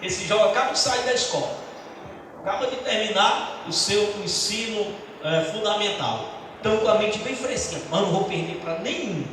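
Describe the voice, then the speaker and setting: Middle-aged male pastor, earnest testimony with halting restarts, fairly high voice, distant mic in an echoey church hall with noise behind. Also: expressive. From Brazil. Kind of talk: church teaching talk.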